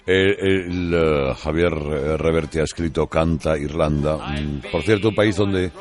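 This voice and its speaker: A man with an accent from Spain hosting a conversational interview, feeling his way haltingly through his questions. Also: extremely low pitch, soft background music. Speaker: interviewer, host.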